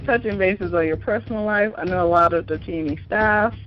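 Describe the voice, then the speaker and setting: A man's voice: high-pitched, brisk. A man interviewing a guest for a magazine, chatty and playful. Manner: chatty, playful, laughs often. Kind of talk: interview questions. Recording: muffled lo-fi recording with faint music.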